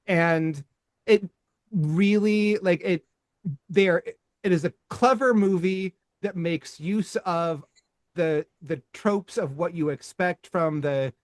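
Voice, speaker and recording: A man's voice: on the high side, no room sound. A middle-aged man co-hosting a movie podcast, rambling casually with restarts and swearing. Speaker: podcast co-host.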